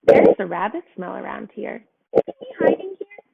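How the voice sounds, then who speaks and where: Woman playing a nosy, suspicious fox, swooping pitch. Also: huge pitch swings, moderate pace, gravelly, narrow, tinny audio, noisy background, full character voice. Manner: questioning, suspicious.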